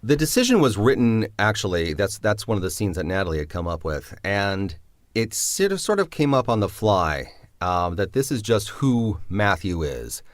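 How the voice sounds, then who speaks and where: Man, very low voice, dry close sound, reflective, hesitant, interview answers. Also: big pitch swings, normal speed, dry recording. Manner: reflective, hesitant, many fillers. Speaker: writer of the drama.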